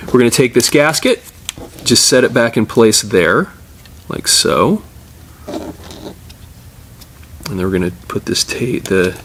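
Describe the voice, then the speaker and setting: A man's voice: on the low side, slight background noise. A middle-aged man presenting a casual hands-on tutorial as a repair technician, explaining informally step by step while he works.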